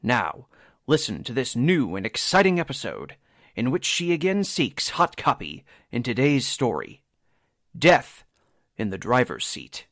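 A man's voice: mid-range voice, wildly swooping pitch, measured pace, a bit bright, no echo, studio quality. A man announcing a radio drama in an emphatic, dramatic 1940s scripted style.

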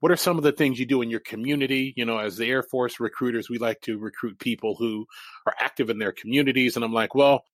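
A man's voice: medium pitch, fast, no echo. A man in his forties, a native Japanese speaker, a guest in an interview who tells his life story candidly and laughs easily.

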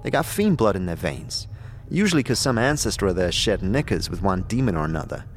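A man's voice: on the low side, very animated, a little fast. A man voicing a wary, wisecracking undead sidekick with slangy, irreverent talk in a fantasy audio drama. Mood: offhand, irreverent.

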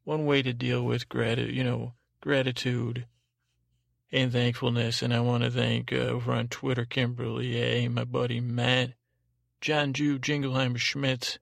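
Gravelly, rambling male podcast host. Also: medium pitch, flat delivery, no room sound. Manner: meandering, halting, repeats himself. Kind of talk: rambling, tangential solo podcast talk.

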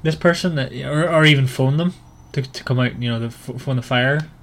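Male book podcast co-host, casual and halting delivery, some room noise behind. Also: medium pitch, a bit bright.

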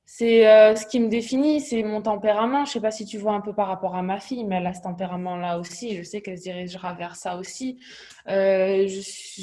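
A woman in her thirties from near Toulouse, speaking with a Toulouse accent as a guest on a video call. She talks in a lively way, with many hesitations and restarts. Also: medium pitch. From southwest France, near Toulouse. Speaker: guest, sports coach. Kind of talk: casual remote interview. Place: home, over a video call.

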